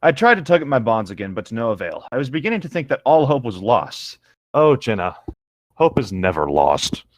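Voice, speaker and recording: Playful man with a lively, swooping voice. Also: medium pitch, brisk, no room sound, studio quality. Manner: playful, expressive. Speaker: co-host of a comedic fan fiction reading show. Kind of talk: casual read-aloud with playful commentary.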